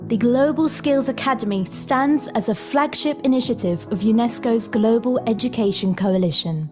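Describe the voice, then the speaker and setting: A woman reading a scripted promotional narration for a short video in a smooth, even delivery. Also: a little high. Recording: voice-over with music behind. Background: background music.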